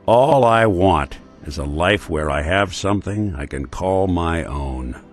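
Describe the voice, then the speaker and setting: An actor playing a world-weary male synth detective in a game, talking with a gruff, laconic noir drawl. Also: low voice, animated, measured pace, faint music behind. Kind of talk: game companion dialogue.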